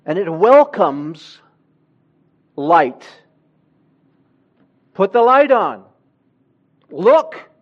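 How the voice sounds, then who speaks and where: A man who grew up in Honduras, preaching a sermon in a church with slow, emphatic delivery that swells louder on key words. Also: sits high, very animated, highs cut off.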